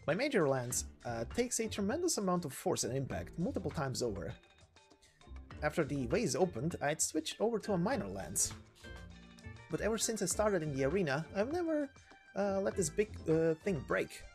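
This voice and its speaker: A man voicing a brash, battle-hardened lance knight, proud and bitter, with a blunt, halting delivery in a game story. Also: on the high side, very animated, darkish tone, soft background music, pitched above the performer's own voice. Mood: boastful, recounting.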